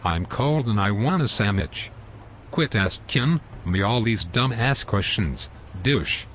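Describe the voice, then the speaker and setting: A man voicing a rude, scruffy homeless man in an amateur animated comedy, with slow, sulky, insulting speech. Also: fairly low pitch, slight background noise. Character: rude scruffy homeless man who lies about everything.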